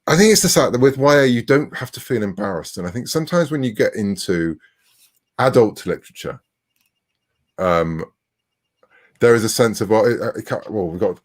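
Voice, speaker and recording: Low-pitched man in his forties. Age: 40s. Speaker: interviewed writer of comics and novels. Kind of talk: conversational interview answers.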